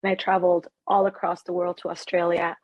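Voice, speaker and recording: A woman from Ecuador, a podcast guest, talking in a reflective, animated way about her life. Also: lowish voice, brisk, dry recording, dull audio. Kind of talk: casual conversation.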